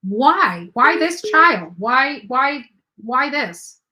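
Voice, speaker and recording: A woman's voice: high-pitched, sing-song, very slow, sharp tone. A woman hosting a casual true crime call-in show, speaking in a blunt, questioning way. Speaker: live call-in show host.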